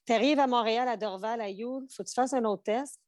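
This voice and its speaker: A woman's voice: a little high, a little fast, dry recording, clean studio sound. A woman in her forties with a Québécois French accent, a flight attendant chatting in an interview, full of fillers and restarts. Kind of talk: casual interview chat.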